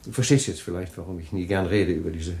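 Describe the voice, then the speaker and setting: A man's voice: low-pitched, quick pace, gravelly, darkish tone. An actor in a German radio drama playing a wealthy, evasive husband who soothes and dismisses his wife. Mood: pained. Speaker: husband James.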